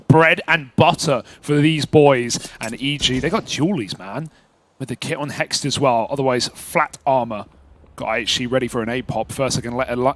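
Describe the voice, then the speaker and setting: A man's voice: medium pitch, a bit bright. A man commentating and analysing a live esports match in colloquial, slangy language.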